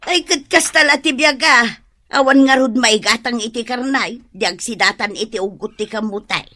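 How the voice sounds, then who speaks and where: An actress playing a sharp-tongued, scornful woman who nags and scolds in a radio drama. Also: a little high, moderate pace. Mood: exasperated scolding.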